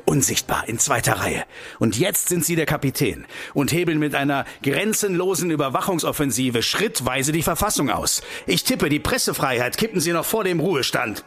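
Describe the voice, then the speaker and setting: An actor playing a principled, defiant politician in a radio play, articulate, accusing and sardonic. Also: male voice, medium pitch, fairly bright tone, breathy edge. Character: principled, defiant male politician confronting the powerful. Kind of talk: German radio play acting.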